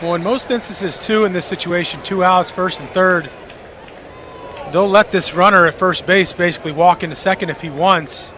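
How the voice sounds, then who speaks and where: High-pitched American male baseball analyst. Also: moderate pace, bright, forceful tone, slight background noise. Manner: conversational, explains tactics in long running sentences. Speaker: radio baseball color analyst. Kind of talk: live analytical sports commentary.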